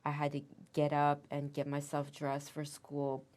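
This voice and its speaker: A woman's voice: sits low, monotone, measured pace. A woman in her fifties with an American accent, recalling her past in a thoughtful, hesitant interview. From Korea, raised in the United States. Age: 50s. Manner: soft-spoken, thoughtful, with hesitant restarts. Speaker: interviewee, Korean adoptee. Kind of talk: reflective interview.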